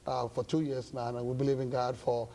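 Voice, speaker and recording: A pastor from Ghana, older, answering interview questions slowly with many pauses and fillers. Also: male voice, mid-range voice, flat delivery, vocal fry, mellow, a little hiss or hum. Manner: halting, many uh fillers, measured. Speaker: pastor and guest. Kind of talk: interview talk show.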